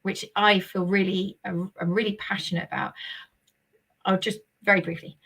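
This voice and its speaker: Host: woman, mid-pitched voice, bubbly and emphatic, drives the conversation. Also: flat delivery, moderate pace, a bit bright, breathy edge. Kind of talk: live-streamed interview chat.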